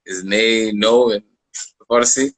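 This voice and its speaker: A man with a fairly low voice hosts a live interview in a casual style. He talks hesitantly, often circling back to repeat a phrase. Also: steady tone, slow pace. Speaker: host of live art interview show.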